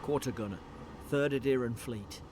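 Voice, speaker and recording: An actor playing a loyal, worried naval gunner in a video game, earnest and respectful, with noise behind him. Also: male voice, medium pitch, normal speed, natural voice. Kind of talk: video game character dialogue. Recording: clear background ambient noise from game.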